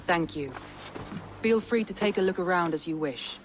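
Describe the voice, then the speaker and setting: A woman voicing a proud, wary Viking clan leader in a video game, with deliberate, solemn delivery. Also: on the low side, lively intonation, music in the background, natural voice. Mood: resolute. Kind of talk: cinematic video game acting.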